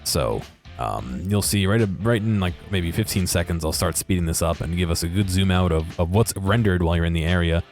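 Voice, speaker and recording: A man giving casual, unscripted commentary over game footage in a halting, explanatory way, correcting himself as he goes. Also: low voice, darkish tone, faint music behind. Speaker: video creator narrating game footage.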